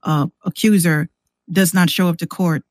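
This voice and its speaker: A woman's voice: lowish voice, normal speed, no echo. Candid American woman, close dry sound. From New York City, US. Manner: animated, candid, slangy, acts out quoted exchanges. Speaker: podcast guest, rapper and radio show host. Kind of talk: casual conversational storytelling.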